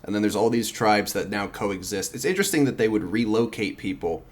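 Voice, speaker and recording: A man's voice: fairly low pitch, expressive, a little fast. A man hosting a podcast, asking curious follow-up questions in a casual, conversational way. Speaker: podcast host.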